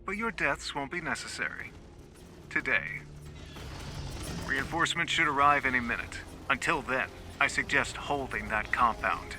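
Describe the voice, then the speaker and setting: A cynical military superior played by a man briefing troops in a sci-fi war game. He speaks in a measured, dry, detached way, with music playing behind him. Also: mid-range voice, animated, close to an everyday voice. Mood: calm, dry. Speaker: mission commander. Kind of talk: video game cutscene acting.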